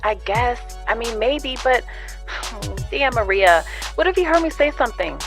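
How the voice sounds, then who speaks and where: A woman's voice: a little high, lively intonation, unhurried, soft background music. A woman acting in an audio drama as an anxious, secretive friend, speaking in a fretful, hesitant, conversational way. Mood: worried. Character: anxious, secretive woman confiding in her girlfriend.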